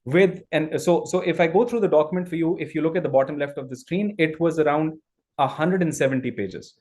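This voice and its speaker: Energetic man leading an online training. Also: a little high, a little fast. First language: Urdu. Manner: energetic, repeats and restarts phrases. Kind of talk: live online training demo.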